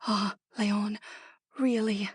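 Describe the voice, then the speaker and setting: A woman playing a hesitant, romantic married lady in a dramatic audiobook, with a breathy voice. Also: medium pitch, flat delivery, slow. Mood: hesitant, flustered.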